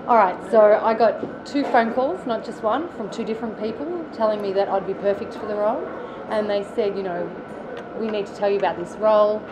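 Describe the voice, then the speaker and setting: Australian actress, chatty interviewee, loads of fillers, loud background noise. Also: female voice, mid-range voice, brisk, muffled recording. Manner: chatty, many fillers and restarts. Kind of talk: casual interview answers.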